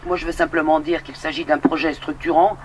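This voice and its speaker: A man with a somewhat high voice speaks as a local official. His remarks are unscripted, yet the phrasing is formal and administrative. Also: steady tone, a little fast, slight background noise.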